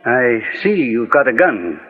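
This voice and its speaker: An actor playing a kindly, shrewd old gentleman detective, measured and formal, in 1940s radio drama. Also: medium pitch, very animated, faint music behind. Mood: calm, accusing. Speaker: lead detective. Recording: narrow, tinny 1940s radio recording.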